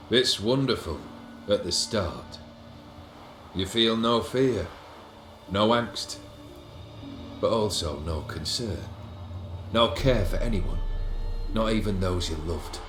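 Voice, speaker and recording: Slow, world-weary, melancholy nobleman. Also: on the low side, a bit bright, reverberant, faint music behind. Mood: brooding, reflective. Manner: eloquent, formal, brooding. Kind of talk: video game voice acting.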